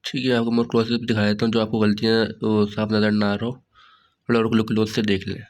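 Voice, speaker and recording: Hoarse low-voiced male tutor. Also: fast. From Pakistan. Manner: relaxed, repeats "theek hai" as filler. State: hoarse, sore throat. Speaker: online tutor. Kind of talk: casual study-guide walkthrough.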